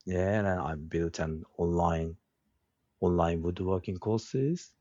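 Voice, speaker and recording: A middle-aged man from Japan, speaking English with a Japanese accent in a relaxed interview. He talks slowly and haltingly and searches for his words. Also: deep voice, no room sound. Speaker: guest, woodworking craftsman and teacher. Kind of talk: casual interview conversation. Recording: dull, dry lo-fi sound.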